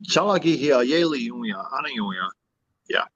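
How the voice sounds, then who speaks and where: A man from the Cherokee Nation of Oklahoma, a guest in a casual virtual chat, talking candidly and joking, with halting fillers, over a video call. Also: medium pitch, lively intonation, a bit bright, no room sound, lo-fi sound.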